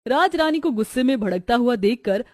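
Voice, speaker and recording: A woman's voice: on the high side, expressive, brisk, no room sound, studio quality. A woman narrating a scripted story as the storyteller.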